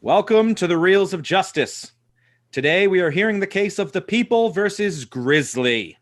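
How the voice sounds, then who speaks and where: A man's voice: a little high, fairly bright tone, no echo, clean studio sound. A man playing a formal courtroom judge in a mock trial, declaiming over a video call. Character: pompous male courtroom judge.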